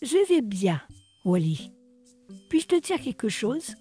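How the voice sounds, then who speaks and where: A woman's voice: on the high side, very animated, slow, mellow, soft background music. A woman voicing a kindly, caring nana in a cartoon comic dub. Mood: warm. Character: kindly, caring female household nanny figure.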